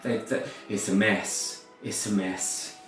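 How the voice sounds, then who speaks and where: A British man giving a rambling, informal talk as a storyteller, halting and repeating words, with theatrical, opinionated asides. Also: on the low side, flat delivery, faint music behind. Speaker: ballet storyteller and performer. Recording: very echoey room, far from mic.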